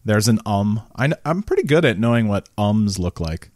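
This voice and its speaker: A man's voice: fairly low pitch, sing-song, moderate pace, darkish tone, no room sound, clean studio sound. A man co-hosting a podcast, chatting casually and laughing as he rambles through his thoughts. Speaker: podcast co-host. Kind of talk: casual chat. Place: home basement.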